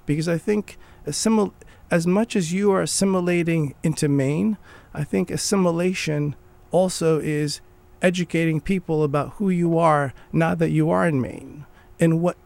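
Gravelly man in earnest conversation. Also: fairly high pitch, fairly even intonation, moderate pace, a little mellow. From Morocco. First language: Arabic. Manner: earnest, restarts phrases while thinking. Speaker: guest. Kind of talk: conversational interview.

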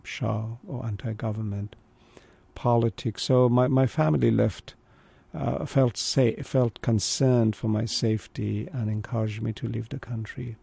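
Gravelly middle-aged man born in Iran. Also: mid-range voice, dark tone. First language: Kurdish. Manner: thoughtful, halting storyteller. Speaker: guest. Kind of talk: conversational interview.

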